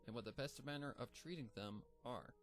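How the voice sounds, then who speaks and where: A man reading a fanfic aloud, voicing an earnest, bookish young female pony in a gentle, lightly raised voice. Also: mid-range voice, fairly quick, soft background music, natural voice. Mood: studious.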